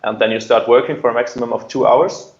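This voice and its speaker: Deep, creaky man. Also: steady tone, quick pace, reverberant, dull audio. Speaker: air traffic controller, interview guest. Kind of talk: conversational interview answers, explaining.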